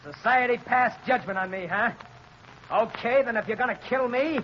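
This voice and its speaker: Defiant male gangster, high voice, taunting slang, muffled noisy 1930s radio drama. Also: normal speed. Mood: vengeful, defiant.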